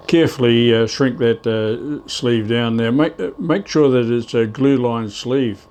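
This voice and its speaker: Male hobbyist presenter, medium pitch, casual practical demonstration with a hesitant, halting delivery. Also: a little mellow. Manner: hesitant, halting, talking while working with his hands. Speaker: ham radio hobbyist presenting DIY tips. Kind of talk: hands-on how-to demonstration, casual.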